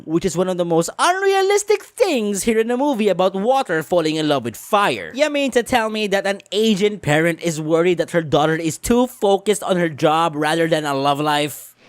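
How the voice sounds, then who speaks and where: A woman's voice: lowish voice, no echo. A woman narrating a scripted comedic movie review in a sarcastic, exasperated manner. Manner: sarcastic, exasperated, foul-mouthed. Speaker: video reviewer.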